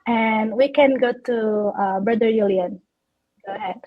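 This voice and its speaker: A woman's voice: a little high, measured pace, no echo, highs cut off. A woman hosting a live online chat, a little nervous and chatty, with many fillers, over a video call. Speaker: host.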